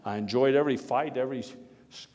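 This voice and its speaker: A man's voice: mid-range voice, darkish tone, close to an everyday voice. Man playing a hearty old-time statesman, echoing hall. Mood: genial. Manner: folksy oratory with halting, deliberate phrasing. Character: Theodore Roosevelt, jovial, hearty former president telling anecdotes. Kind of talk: live historical dramatization speech to an audience. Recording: echoey hall with long reverb.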